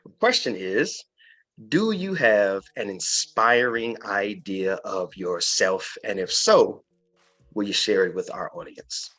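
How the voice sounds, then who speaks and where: A man's voice: lowish voice, lively intonation, slow, sharp tone. A man hosting an inspirational podcast interview, speaking earnestly and emphatically and repeating phrases for effect. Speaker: podcast host.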